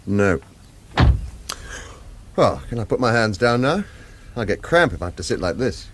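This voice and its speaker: A man's voice: fairly low pitch, sing-song, moderate pace, fairly bright tone. An actor playing a wry, wary ex-agent on the run who tells his own story in a terse, hard-boiled radio thriller style. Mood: wary, insistent. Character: retired male agent turned wary hunted fugitive, wry. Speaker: lead character and first-person narrator. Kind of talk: radio thriller acting and narration.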